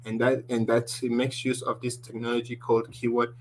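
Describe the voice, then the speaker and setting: A man with a deep voice presenting a tech lesson, walking through slides and often restarting his sentences. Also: completely flat delivery, normal speed, slight background noise. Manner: explaining slides, restarts often, ends points with "basically".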